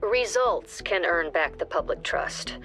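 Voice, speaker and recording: An actress voicing a calm, authoritative agency director giving businesslike mission briefings in a video game. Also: female voice, fairly low pitch, a little slow, soft background music.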